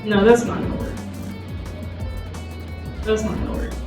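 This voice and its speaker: A woman's voice: medium pitch, little pitch movement, normal speed. A woman giving a casual, informal panel presentation, talking loosely and conversationally with lots of "like". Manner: conversational, loose, filler-heavy with frequent "like" and small laughs. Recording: roomy distant mic, loud music behind.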